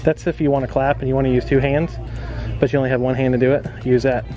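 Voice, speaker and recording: A man's voice: mid-range voice, flat delivery, quick pace, dull audio, music in the background. A man hosting a shopping vlog, chatting casually and reading out prices while inside a busy store. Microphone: handheld camera, off screen.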